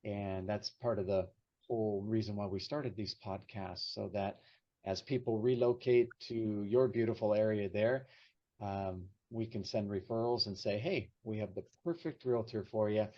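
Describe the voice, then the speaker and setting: A man's voice: lowish voice, fairly even intonation, moderate pace, warm tone, muffled recording. A man hosting a podcast interview, upbeat and chatty, with frequent fillers. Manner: upbeat, hesitant with many fillers. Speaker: podcast host. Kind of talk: casual interview chat.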